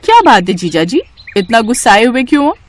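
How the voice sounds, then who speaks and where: Casting: a woman as pushy young cartoon sister-in-law, high pitch, very lively swoops, coaxing. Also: brisk. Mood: curious. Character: bold, ambitious young sister-in-law who turns food vendor.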